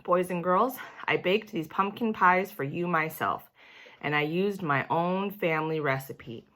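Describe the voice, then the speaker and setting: A woman voicing a cheerful school lunch lady in a storybook, warmly addressing a group of children. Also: fairly low pitch, unhurried, poor audio. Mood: proud.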